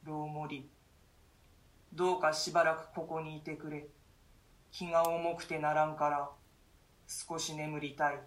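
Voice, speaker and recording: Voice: mid-range voice, flat delivery, measured pace, long pauses, close to an everyday voice. Someone playing a jailed duke, scared, old-timey solemn talk, echoey room. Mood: pleading. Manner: archaic, solemn storytelling with fearful gasps. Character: imprisoned duke haunted by a nightmare, male, grave. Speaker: voice actor in a radio reading. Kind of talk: classic stage play read as radio drama.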